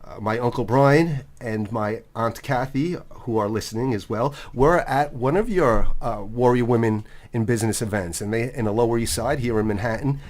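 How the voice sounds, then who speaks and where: Affable New radio host. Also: male voice, medium pitch, darkish tone. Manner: affable, quick affirmations. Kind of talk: casual radio interview.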